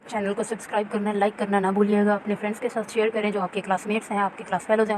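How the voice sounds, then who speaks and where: A woman's voice: medium pitch, rough recording. A woman with a Pakistani accent giving an informal recorded lecture as a law teacher, explaining and often checking that students follow. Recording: clear steady background noise.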